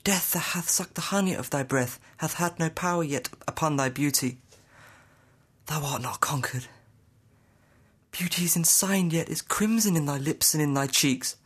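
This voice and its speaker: A man's voice: fairly high pitch, normal speed, fairly bright tone, husky. A young British man, a student actor playing a desperate, lovestruck banished nobleman in an impassioned Shakespearean audio drama. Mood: whispered grief. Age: young adult. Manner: impassioned, poetic, formal delivery.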